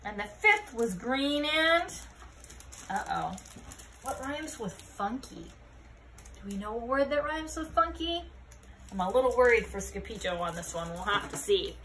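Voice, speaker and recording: A woman reads a picture book aloud in a high, very animated voice. She is expressive and often turns to young listeners with questions. The recording carries some background noise. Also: unhurried. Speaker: read-aloud storyteller.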